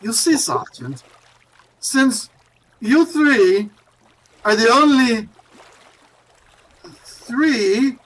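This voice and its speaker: An actor playing a gloating, urbane murderer who speaks with mocking politeness, in 1940s radio drama style. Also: male voice, extremely high pitch, sing-song, drawn-out words, long pauses, fairly bright tone, heavily acted, poor audio. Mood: smug, menacing. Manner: mocking politeness with frequent chuckles. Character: gloating, urbane male murderer holding captives at gunpoint.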